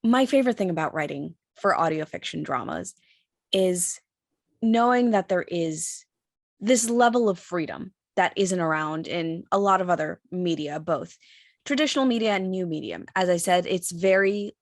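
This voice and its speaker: A woman giving considered, articulate answers on a panel in a measured way, pausing mid-sentence. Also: fairly low pitch, no echo, clean studio sound. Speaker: audio drama writer and panelist.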